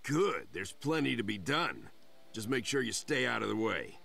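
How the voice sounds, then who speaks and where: A bossy bandit chief from a video game, with a heavily creaking, gruff voice. He gives curt orders, and his pitch swings in a lively way. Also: normal speed, slight background noise, full character voice. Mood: gruff, commanding. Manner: curt, commanding.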